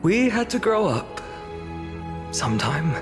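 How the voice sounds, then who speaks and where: An actor playing an earnest, boyish young hero in a video game, eager and expressive. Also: male voice, high-pitched, takes it slowly, full character voice. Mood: cheerful. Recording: background music under the voice.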